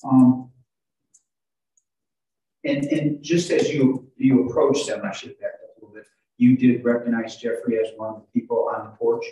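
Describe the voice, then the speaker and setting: An older man working as a defense attorney, questioning in court in a halting way and repeating his words. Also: medium pitch, steady tone, warm tone. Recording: very echoey courtroom, distant microphone.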